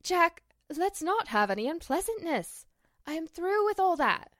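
An actress playing a glamorous, aggrieved stage star in 1940s radio drama style. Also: female voice, very high voice, very animated, measured pace, fairly bright tone, no room sound, studio quality, exaggerated voice. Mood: pleading, defensive.

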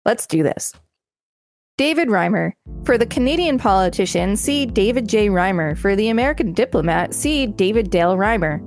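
A woman's voice: medium pitch, lively intonation, moderate pace, faint music behind. A woman podcast co-host reading an encyclopedia article aloud and adding commentary.

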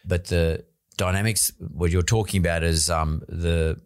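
A man's voice: low-pitched, fairly even intonation, a little slow, dry recording, clean studio sound. A man hosting an interview podcast. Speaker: podcast host.

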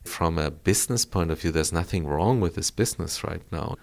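A middle-aged man from Colombia talks as a podcast guest. His voice sits low and has a gravelly, creaky edge. He explains in long, patient runs and keeps asking "right?" to check the listener is with him. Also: brisk, a little hiss or hum. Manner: explanatory, frequent tag "right?". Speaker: guest, former auto executive. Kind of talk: podcast interview.

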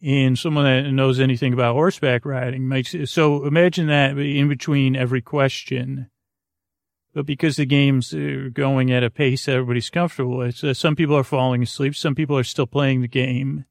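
A man hosting a podcast with a creaky, gravelly voice, improvising a hesitant, meandering bedtime monologue full of tangents, close on the mic. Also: mid-range voice, fairly even intonation, brisk, no room sound. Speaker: podcast host.

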